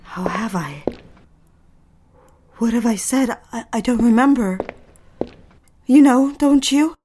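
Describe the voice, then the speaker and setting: An actress playing a fragile, haunted young wife in an audio drama, halting and anguished in a melodramatic way. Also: female voice, fairly high pitch, animated, mellow, a little hiss or hum. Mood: dazed, confused.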